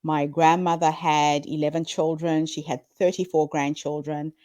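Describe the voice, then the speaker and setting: Woman in her 50s from zimbabwe, lowish voice, dry close sound, earnest and upbeat. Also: level tone, moderate pace, no echo. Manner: earnest, upbeat storytelling with warm laughs. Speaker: guest, founder of a women's story platform. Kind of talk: conversational interview answers.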